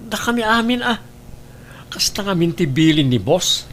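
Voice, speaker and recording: A man's voice: high-pitched, big pitch swings, normal speed, a bit bright. A man with an Ilocano Filipino accent acting an evasive, defensive husband in an audio drama. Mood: defensive, irritated. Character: evasive husband hiding an affair, defensive with his wife.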